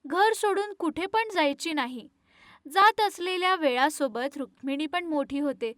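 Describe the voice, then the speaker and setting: A woman tells an animated moral tale as narrator. Her voice is extremely high and recorded very dry, with scripted storybook phrasing. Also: measured pace, no room sound.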